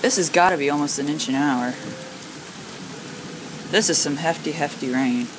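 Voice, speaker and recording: An excitable amateur storm watcher filming and commenting on the weather in exclamations, often repeating words for emphasis. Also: mid-range voice, normal speed. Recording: home video with rain noise behind. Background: heavy rain.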